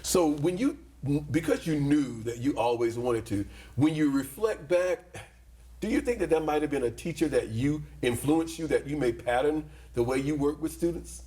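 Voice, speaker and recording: A man's voice: a little high, normal speed, mellow. A man hosting a school interview show, upbeat and complimentary as he introduces guests and asks questions. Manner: upbeat, complimentary, repeats "awesome". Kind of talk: studio interview.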